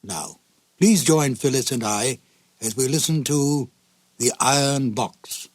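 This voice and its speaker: A man's voice: mid-range voice, takes it slowly, no room sound. A man hosting a radio program with formal, courteous scripted introductions. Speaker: program host. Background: faint music.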